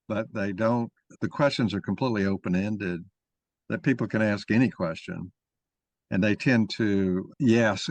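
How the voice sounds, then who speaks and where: A man arguing a point in informal discussion, halting and rephrasing as he goes as the moderator. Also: fairly low pitch, no room sound. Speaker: moderator and main speaker of a mock-trial discussion.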